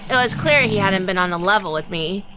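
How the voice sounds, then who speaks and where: A woman playing a sharp-tongued, determined female sleuth with dry, teasing banter in a noir-flavoured audio drama. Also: medium pitch, animated, brisk, noisy background, natural voice. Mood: pensive, narrating.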